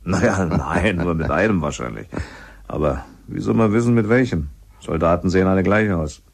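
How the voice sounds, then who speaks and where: An actor playing a middle-aged, gossipy widowed helper at a mountain lodge, chatting in a rambling, resigned way in a radio play. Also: male voice, sits low, expressive, moderate pace, creaky, husky, exaggerated voice. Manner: chatty, rambling, plain-spoken. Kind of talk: radio play acting, conversational.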